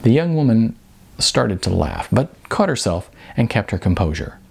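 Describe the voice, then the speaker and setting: A man's voice: on the low side, big pitch swings, normal speed, vocal fry, darkish tone, husky. A man narrating a novel as a scripted audiobook.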